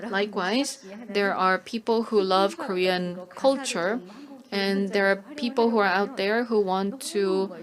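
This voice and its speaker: A woman interpreting a conference talk live, in measured phrases with hesitant pauses. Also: mid-range voice, crowd noise.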